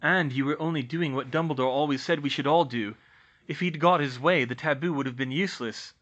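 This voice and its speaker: A man voicing a gentle, reassuring father. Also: fairly high pitch, brisk, highs cut off. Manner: soothing, reassuring.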